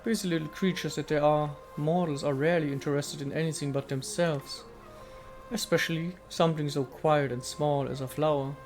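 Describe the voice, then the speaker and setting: Male reader as ancient statue goddess: serene, aloof, formal and poetic game dialogue, raised pitch, music underneath. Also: steady tone, normal speed, a little mellow, lightly acted. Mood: gracious, wistful. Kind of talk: dramatic reading of video game dialogue.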